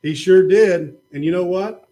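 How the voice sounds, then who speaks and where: A man's voice: high voice, unhurried. A man patiently telling a Bible story to children in a church puppet show. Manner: patient, gently explaining.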